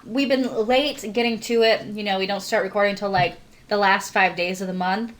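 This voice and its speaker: A woman co-hosting a podcast in a rambling, giggly casual chat, her voice stuffy and a little rough from a cold. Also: medium pitch, fairly even intonation, brisk. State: stuffy nose, sore throat from a cold. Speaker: podcast co-host.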